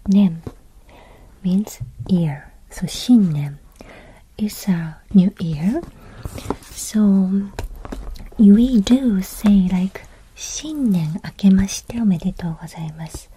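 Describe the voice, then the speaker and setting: A woman with a Japanese accent giving a soft-spoken language lesson in a breathy whisper, in short, simple, gentle phrases. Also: medium pitch, takes it slowly, pauses often, a little hiss or hum, poor audio.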